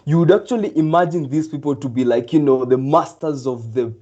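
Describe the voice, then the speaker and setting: A man's voice: fairly high pitch, brisk, dark tone. Enthusiastic young man hosting, muffled. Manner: enthusiastic, rambling with many fillers. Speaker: event host. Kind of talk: live online event hosting.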